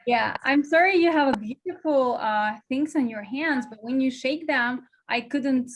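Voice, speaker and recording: A woman's voice: high-pitched, a little slow, noisy background. A woman hosting an interview, eager and speaking English with non-native phrasing. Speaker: podcast host. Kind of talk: casual interview.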